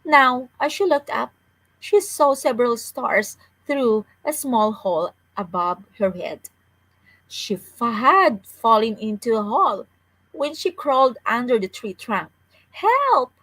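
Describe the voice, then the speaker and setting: A woman's voice: on the high side, lively intonation, measured pace, fairly bright tone, dry recording, poor audio. A woman reading a children's story aloud as a storyteller, with careful delivery and the odd stumble over words.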